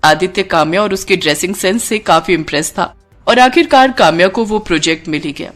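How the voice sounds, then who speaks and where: A woman storyteller delivering scripted cartoon story narration in an expressive way. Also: lowish voice, fairly quick, faint music behind.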